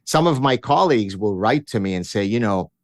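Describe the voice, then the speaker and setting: A man's voice: lowish voice, animated, fairly quick, dry recording. A man in his fifties from Lebanon, a guest telling stories of his childhood in a wry, self-mocking way with many restarts. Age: 50s. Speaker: guest author. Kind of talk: conversational interview storytelling.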